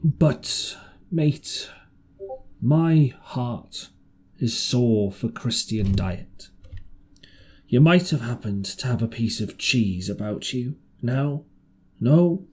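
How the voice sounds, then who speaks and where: A man voicing a ragged, marooned old sailor in an audiobook, half-wild and pious, with a hoarse, halting, rustic way of speaking. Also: medium pitch, lively intonation, full character voice. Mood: wistful. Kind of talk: audiobook character voice.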